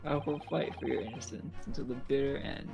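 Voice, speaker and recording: A man's voice: mid-range voice, fairly even intonation, brisk, vocal fry, over music. A man acting an earnest young student lawyer in a video game fan dub, hesitant yet resolute.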